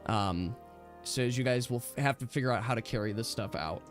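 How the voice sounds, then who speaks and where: A man acting as game master, casually narrating a tabletop game in a halting, thinking-aloud manner. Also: medium pitch. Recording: dry close mic with music behind. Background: music.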